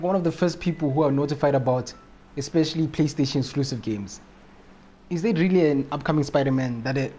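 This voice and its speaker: A man's voice: mid-range voice, a little mellow. Casual man live streaming, faint noise. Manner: slangy, repeats phrases. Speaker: video game live streamer. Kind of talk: casual live chat while playing.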